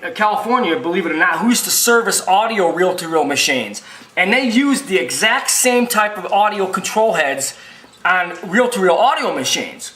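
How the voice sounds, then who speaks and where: A man with a notably high, sharp-edged voice talks through a repair in an informal tutorial. He is folksy and emphatic, rambling and circling back over his points. The sound is roomy and echoing. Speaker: video machine repair technician and presenter.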